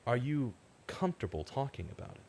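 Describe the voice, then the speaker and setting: Troubled investigator, radio drama, very animated pitch, deliberate, earnest, bitter at injustice. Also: male voice. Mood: earnest questioning. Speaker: narrator and investigator in an audio drama. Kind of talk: scripted radio drama acting with monologue narration.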